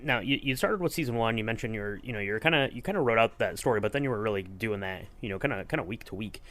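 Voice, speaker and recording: A man's voice: on the low side, expressive, fast, fairly bright tone. A man hosting a podcast in casual chat, asking questions in a rambling, hesitant way. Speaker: podcast host.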